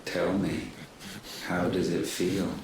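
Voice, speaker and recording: An actor voicing an ancient godlike being, cold, commanding and imperious, with measured delivery in a dramatic fantasy game. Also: male voice, deep voice, flat delivery. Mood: ominous. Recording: cavernous echo, distant, faint noise.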